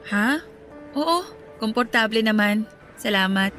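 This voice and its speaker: A woman playing a gentle, wistful young working woman in grief, soft-spoken and apologetic, in a radio drama. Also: fairly high pitch, lively intonation, a little slow, faint music behind.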